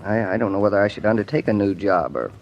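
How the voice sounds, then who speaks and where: A modest young architect. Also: male voice, fairly low pitch, lively intonation, quick pace. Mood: earnest. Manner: hesitant, stammering. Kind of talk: 1940s radio acting. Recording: muffled vintage radio recording.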